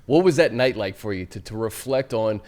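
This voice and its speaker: A man's voice: lowish voice, lively intonation, a little fast, darkish tone. An American man hosting a sports interview show, putting questions in a casual, conversational way.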